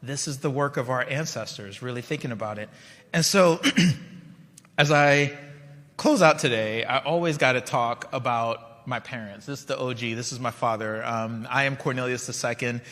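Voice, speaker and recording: A man with a bright, forceful voice delivers a keynote from a stage. His talk is conversational and story-driven, with frequent asides to the crowd. The sound carries a long reverb from a large hall. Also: medium pitch, moderate pace. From Liberia. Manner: conversational, storytelling, checks in with the audience. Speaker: keynote speaker. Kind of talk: conference keynote speech.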